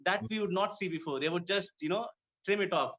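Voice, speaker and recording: A man's voice: high-pitched, quick pace, fairly bright tone. A man from Kuwait speaking conversationally as a dermatologist guest in an interview. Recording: dull lo-fi audio.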